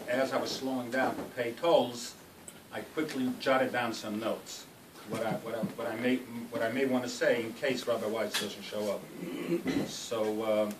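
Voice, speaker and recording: Man with a cold giving a rambling speech. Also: medium pitch. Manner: rambling, self-deprecating, halting restarts. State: has a cold. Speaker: speaker at a farewell party. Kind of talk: informal farewell speech. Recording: roomy hall, distant mic, noisy.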